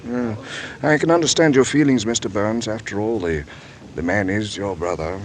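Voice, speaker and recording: A man acting a courteous, persistent coroner questioning witnesses in old-time radio drama style, formal and deliberately polite. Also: fairly low pitch, lightly acted. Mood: measured. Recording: old radio, hissy echoing hall.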